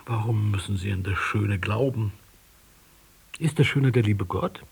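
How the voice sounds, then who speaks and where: An actor playing a sly confidant in a radio drama, husky and breathy, questioning with dry irony. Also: male voice, fairly low pitch, a little slow, full character voice. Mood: probing. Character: sly, probing confidant who needles the prince.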